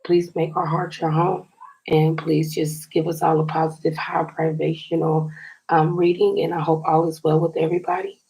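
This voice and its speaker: A woman reading tarot cards for her viewers in a chatty, encouraging way, her voice a little scratchy and raspy today. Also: deep voice, little pitch movement, moderate pace, mellow. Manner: chatty and encouraging, often says "you guys". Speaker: tarot card reader.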